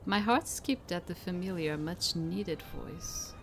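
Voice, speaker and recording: Slow, lowish-voiced woman reading a visual novel. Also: slight background noise. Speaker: game streamer. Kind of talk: let's play, reading visual novel narration aloud with casual asides. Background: soft game music.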